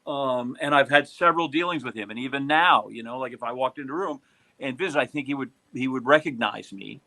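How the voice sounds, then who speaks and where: A middle-aged or older American man reminiscing casually, in a halting, rambling conversational way. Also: medium pitch, pushed and bright.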